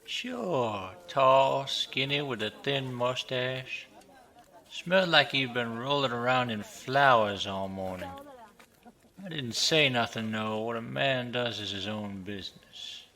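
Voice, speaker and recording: A man's voice: medium pitch, slow pace, pushed and bright. A man acting in an audio drama as a sly old pawnbroker with a folksy, teasing, gossipy way of talking.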